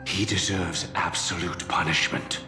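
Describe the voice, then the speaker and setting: An actor playing a solemn, brooding man in a fantasy video game, speaking with grave, deliberate theatrical delivery. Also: deep voice, fairly even intonation. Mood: calm, serious. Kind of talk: dramatic video game voice acting. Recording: echoey hall reverb, music behind.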